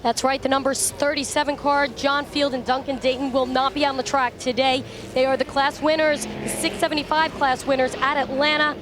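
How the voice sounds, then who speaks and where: A woman reporting from the pits in a clear, factual news style. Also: high-pitched, level tone, fairly quick, background noise. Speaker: pit road reporter.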